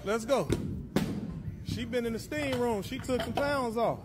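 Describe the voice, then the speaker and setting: High-voiced man hyping lifters in a gym. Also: a little slow, noisy background. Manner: chanting catchphrases and counting reps. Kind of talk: hype commentary on a workout. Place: large indoor gym. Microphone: handheld phone. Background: loud music.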